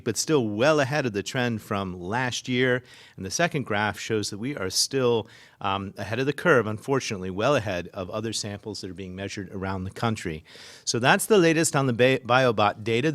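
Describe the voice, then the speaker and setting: A man's voice: lowish voice, a bit bright. A man, a city mayor, hosting a live civic talk show and interviewing a staff member, earnest and full of small fillers and restarts.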